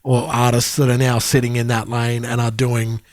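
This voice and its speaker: A man in his forties co-hosting a podcast, chatting easily and backing his partner up with quick yeahs. The sound is dry and close-miked with no room echo. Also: medium pitch, monotone. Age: 40s. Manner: agreeable, chatty, quick affirmations, laughs easily. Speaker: podcast co-host. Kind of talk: casual chat.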